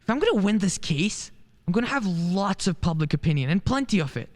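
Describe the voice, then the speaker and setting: A woman's voice: mid-range voice, sing-song, a little fast, darkish tone, natural voice. A woman acting an earnest, well-meaning male lawyer and loyal friend in a radio play. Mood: cool, confident. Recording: echoey hall.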